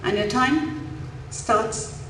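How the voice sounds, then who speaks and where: French: une femme coach en pleine salle. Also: female voice, on the high side, extremely slow, a little hiss or hum. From India. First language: an Indian language. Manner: warm encouraging, asks the audience questions. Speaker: mindfulness coach. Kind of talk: interactive talk to parents. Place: school auditorium. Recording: echoey hall, faint room ambience.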